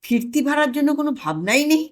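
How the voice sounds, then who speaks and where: A woman's voice: sits high, very animated, fast talker, voice pushed up. A woman voicing a blustering, scheming older family man in a comic audio story, exclaiming and sure of himself. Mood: scolding, confident.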